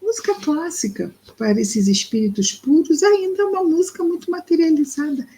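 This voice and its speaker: A woman with a Brazilian Portuguese accent reads a book aloud and explains it, speaking thoughtfully and slowly, with pauses and fillers. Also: extremely high pitch, lively intonation, dark tone, low-quality recording. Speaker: study group presenter. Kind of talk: reading and explaining a spiritist book.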